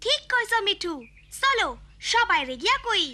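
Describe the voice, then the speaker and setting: A woman's voice: extremely high pitch, lively intonation, a little slow, very noisy. A woman voicing an earnest, determined village girl in an educational cartoon, emphatic and instructive, with a Bangladeshi accent.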